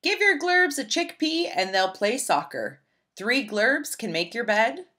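Animated, bright-voiced woman reading aloud. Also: a little high, a little slow. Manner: animated, emphatic, storybook delivery. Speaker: librarian reading a picture book aloud. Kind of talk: expressive children's read-aloud narration.

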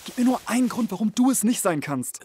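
A wary, insistent teenage schoolboy. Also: male voice, sits high, lively intonation, fast talker, a little mellow, breathy edge. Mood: pressing, wary. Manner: casual, pressing. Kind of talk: audio drama acting. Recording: faint music behind voice.

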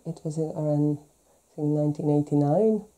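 A woman's voice: deep voice, normal speed, mellow. A middle-aged woman from Hungary with a Hungarian accent, telling anecdotes as a documentary interviewee.

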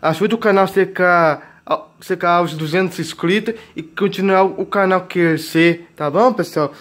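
A man's voice: sits high, normal speed, a bit bright. A young man in his late teens with a Brazilian accent, chatting casually and repetitively as he vlogs in a home kitchen. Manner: repetitive, points things out with filler tags. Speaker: vlogger. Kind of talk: casual grocery haul chat. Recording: clear noise, crinkling plastic bags. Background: plastic bags crinkling.

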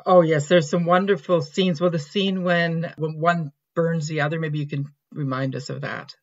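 An eager podcast host who leads the interview and is quick to praise the guest's work. The voice comes through a slightly dull, lo-fi line. The delivery is warm in its agreement and moves at a conversational pace. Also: mid-range voice, steady tone. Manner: enthusiastic, affirming, quick to praise.

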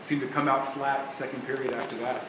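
A man's voice: medium pitch, flat delivery, fairly quick. A male sports reporter asking loosely phrased, rambling questions at a press conference. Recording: echoey room with air-conditioning hum.

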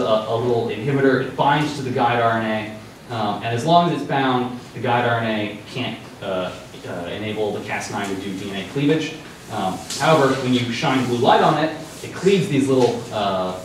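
A young man giving an informal science news talk in a dark lecture room, loose and conversational with many ums and restarts. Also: medium pitch, slight background noise. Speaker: presenter. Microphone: far room mic. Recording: echoey hall from far off.

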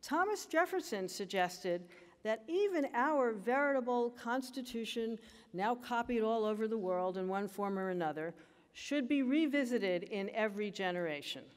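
An older American woman giving a formal graduation speech at a lectern, with a measured, emphatic delivery. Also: fairly high pitch, lively intonation, smooth, long reverb tail. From United States. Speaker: commencement speaker. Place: large auditorium stage at a lectern. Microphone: lectern microphone.

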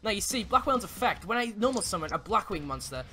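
A young man with an excitable, giggly way of talking and stumbling over words, playing a card game in a casual roleplay. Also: sits high, fast, slight background noise. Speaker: player in a card duel roleplay. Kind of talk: casual in-character gameplay commentary.

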